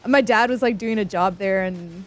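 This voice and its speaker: A woman's voice: medium pitch, moderate pace. A woman from San Antonio, producer and co-host on a sports radio show, answering in dry, laid-back replies in a studio. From San Antonio, Texas. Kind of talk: casual studio banter. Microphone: studio microphone.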